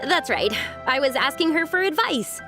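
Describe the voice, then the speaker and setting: Casting: young female game character, earnest and expressive, lively high register, over clear background music. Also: moderate pace. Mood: earnest, excited. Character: earnest, excitable young woman with a passion for archaeology. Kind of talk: video game voice acting.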